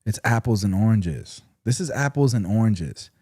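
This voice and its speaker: Low, dark-voiced man hosting a livestream. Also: animated, moderate pace, breathy edge, dry recording. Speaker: livestream host. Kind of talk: casual livestream chat. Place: his own streaming setup.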